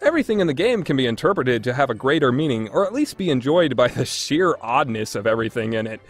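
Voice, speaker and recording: A man's voice: medium pitch, very animated, fast, faint music behind. A man delivering a scripted review narration in an opinionated, emphatic way. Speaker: reviewer, list host.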